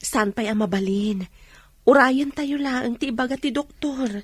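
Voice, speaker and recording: A woman's voice: high voice, a little slow, breathy edge, dry recording. An actress playing a caring, emotional young female friend in a radio drama. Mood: gentle.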